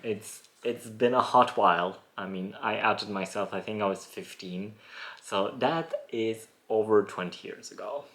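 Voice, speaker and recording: A thirty-something man hosts a solo podcast from his rural home. He talks in a thoughtful, musing way, laughing softly now and then. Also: fairly low pitch, measured pace. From born in Poland, raised in Germany. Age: 30s. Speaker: podcast host. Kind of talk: reflective solo podcast talk.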